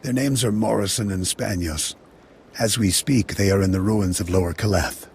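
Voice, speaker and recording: A man plays a measured Jedi instructor in a video game. His voice is very low and gravelly, his delivery formal and tactful. Faint ambient noise lies behind. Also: a little mellow, lightly acted. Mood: calm, tactful. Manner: formal, careful.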